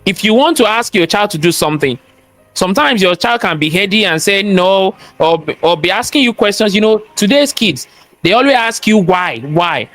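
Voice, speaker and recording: High-voiced Nigerian man, emphatic. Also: expressive, moderate pace, fairly bright tone, faint music behind, rough recording. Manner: emphatic and preachy, repeats key phrases, asks rhetorical questions. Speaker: guest, cybersecurity expert and entrepreneur. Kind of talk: interview conversation, motivational talk.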